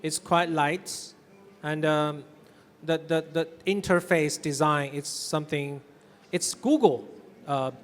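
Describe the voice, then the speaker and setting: A man's voice: fairly high pitch, takes it slowly. A man moderating an onstage interview, asking questions in a conversational way. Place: stage at a tech expo. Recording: reverberant hall sound.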